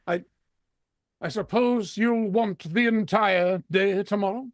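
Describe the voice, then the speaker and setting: A man's voice: high-pitched, slow, no echo, studio quality. A man playing a grumpy, bullying old miser in an old-time radio drama, barking and grumbling, easily rattled into stammering. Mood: irritable, scornful.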